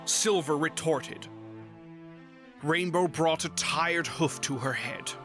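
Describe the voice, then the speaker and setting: A woman's voice: sits low, slow pace, music in the background. A woman reading scripted storybook narration.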